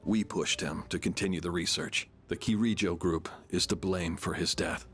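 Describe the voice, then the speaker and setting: A man voicing a remorseful, formal older authority figure in a video game, speaking in measured, sombre words. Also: low-pitched, fairly even intonation, fairly bright tone, faint music behind. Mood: sombre, regretful. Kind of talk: dramatic game voice acting.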